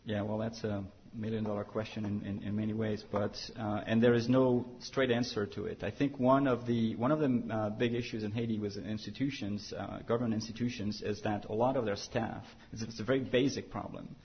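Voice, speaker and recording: A man's voice: fairly low pitch, fairly even intonation, fairly quick, few pauses, highs cut off. A man giving a conference panel talk, then answering a question, with many fillers and restarts. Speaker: panel speaker from an international agency. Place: conference hall.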